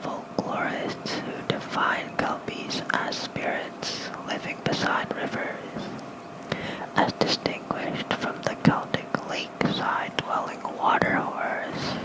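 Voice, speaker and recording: An ASMR creator softly reading folklore aloud in a hushed, unhurried bedtime whisper. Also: rough recording.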